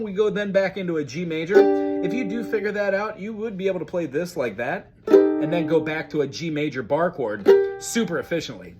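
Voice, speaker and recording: A man teaching a casual ukulele lesson, talking through chords in a friendly, chatty way and singing along. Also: a little high. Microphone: recorded alongside his own ukulele playing. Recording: ukulele loud under voice.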